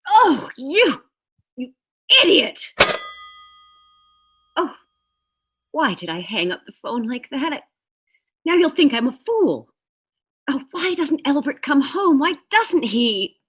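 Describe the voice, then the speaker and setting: A woman's voice: high-pitched, sing-song, slow pace, long pauses, fairly bright tone, lo-fi sound, exaggerated voice. A woman acting a nervous, demanding bedridden woman in a suspense radio play, fretful and haughty, given to exclamations. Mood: fretful, impatient.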